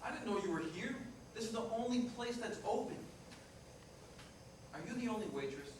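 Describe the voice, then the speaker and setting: A man's voice: sits high, sing-song, a little fast. A man playing a frank, remorseful working-class ex-fiancé in a staged reading, speaking in a plain, matter-of-fact way. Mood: casual, dry. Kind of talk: staged reader's theater dialogue. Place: indoor performance room, distant microphone. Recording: echoey noisy room recorded from far off.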